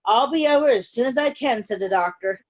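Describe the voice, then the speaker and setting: A woman with a high voice reads a storybook aloud to small children. She speaks as a storyteller, moving between the text and simple questions to her young listeners, over a video call. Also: expressive, a little hiss or hum, low-quality recording. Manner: pauses to check in with young listeners.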